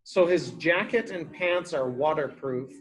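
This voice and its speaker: Slow-paced man reading aloud to children. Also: fairly high pitch, rough recording. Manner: simple, repetitive explaining for young children. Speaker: early education teacher reading to children. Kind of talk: read-aloud picture book with explanations and questions.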